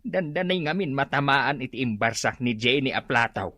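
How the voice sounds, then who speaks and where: Guy doing nosy neighbour in radio drama, husky but sharp voice, real animated gossip. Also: mid-range voice, normal speed, no room sound. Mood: alarmed.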